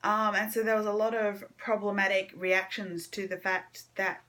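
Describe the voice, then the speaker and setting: A woman's voice: mid-range voice, flat delivery, moderate pace, bright, forceful tone. A woman giving a casual book vlog chat, informal and hesitant as she thinks aloud. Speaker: book vlogger. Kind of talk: casual monthly reading wrap-up chat.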